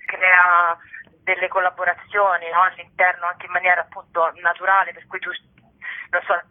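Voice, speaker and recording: A woman's voice: on the low side, monotone, moderate pace. Female interview guest, casual and chatty, actress, narrow telephone sound. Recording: tinny, narrow telephone line.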